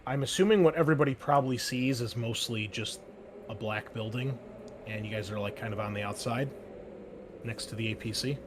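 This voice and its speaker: A man acting as host and game master of a tabletop roleplaying game, explaining the scene in a relaxed way with many fillers. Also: medium pitch, brisk, noisy background. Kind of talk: running a tabletop game session.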